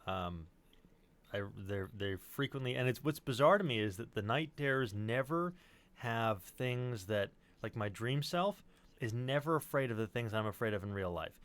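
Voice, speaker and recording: A man's voice: mid-range voice, expressive, normal speed, a bit bright. A man chatting casually and telling personal stories in a rambling way, often correcting himself. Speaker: podcast cast member. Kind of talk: casual chat, personal storytelling.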